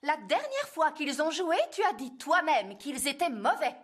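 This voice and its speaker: Casting: fretful, refined cartoon queen, high voice, lively intonation. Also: measured pace. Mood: appalled. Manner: exclamatory, fretful. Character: fretful, fussy, refined queen of a fairy kingdom. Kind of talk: animated cartoon dialogue.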